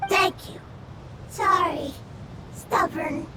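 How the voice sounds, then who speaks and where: Squeaky, very slow little creature. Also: female voice, fairly even intonation, heavy background noise, heavily acted. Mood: meek, thankful. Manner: short, slow, simple phrases. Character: tiny, simple, childlike creature, meek and eager. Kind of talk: video game voice acting. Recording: loud game music behind the voice.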